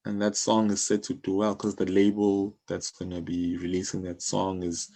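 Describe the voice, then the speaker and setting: A man's voice: deep voice, monotone, moderate pace, gravelly, dark tone. A South African man speaking informally in a casual interview as a guest, thinking aloud as he goes. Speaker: music publisher, interview guest.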